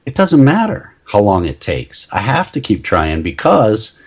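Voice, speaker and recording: A middle-aged man with a slightly low voice, chatting as a podcast guest. He is talkative and self-deprecating and laughs at his own jokes. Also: wildly swooping pitch, measured pace, husky. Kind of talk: casual interview chat.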